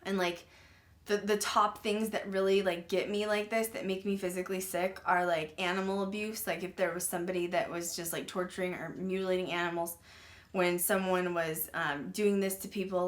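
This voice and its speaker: A woman with a somewhat low voice, talking casually to her viewers as a podcast host. She rambles and fills her talk with "like" and "um", sounding uneasy about the dark subject. She keeps clearing her throat and says she is losing her voice. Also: fairly even intonation, normal speed. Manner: rambling, filler-heavy, with many "like" and "um". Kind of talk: casual true-crime retelling to viewers.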